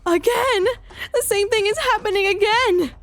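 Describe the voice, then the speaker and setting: A woman's voice: extremely high pitch, moderate pace. A woman playing an anguished, determined young female healer in an audio drama, urgent and dramatic. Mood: alarmed. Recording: music under the voice.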